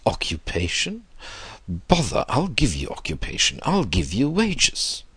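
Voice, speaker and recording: A man voicing a lonely old gentleman in a storybook, effusive and cajoling, with theatrical pleading. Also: fairly low pitch, wildly swooping pitch, measured pace, breathy edge, dry recording, voice pushed up, heavily acted. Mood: coaxing. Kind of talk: storybook character dialogue.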